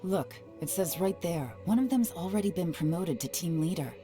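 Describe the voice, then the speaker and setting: A woman voicing a shrewd, secretive female spy who speaks in a calm, measured, analytical way, in a game with music under her lines. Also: fairly low pitch, natural voice. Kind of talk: game voice acting.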